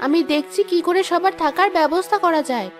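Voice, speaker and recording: A woman voicing a calm, resourceful young wife in a cartoon, steady and reassuring. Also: very high voice, quick pace, faint music behind. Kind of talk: Bengali cartoon voice acting.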